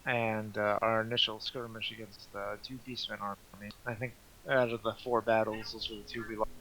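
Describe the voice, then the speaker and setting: A man's voice: on the low side, flat delivery, moderate pace, sharp tone, slight background noise, low-quality recording. A man giving casual gameplay commentary as a podcast co-host.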